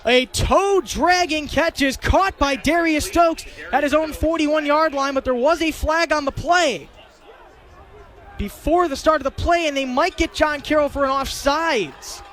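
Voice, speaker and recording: A young man with a very high-pitched voice calls a football game live on the radio. He describes each play in clipped, detailed phrases, in the style of a play-by-play announcer. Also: unhurried, a bit bright. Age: young adult. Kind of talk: live play-by-play sports commentary.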